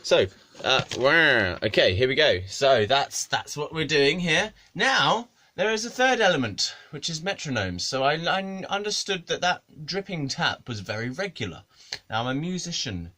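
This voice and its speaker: A man's voice: on the high side, sing-song, normal speed, pushed and bright. A man, a musician rambling through a comic monologue to camera, swearing and laughing at his own jokes. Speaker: musician and video maker.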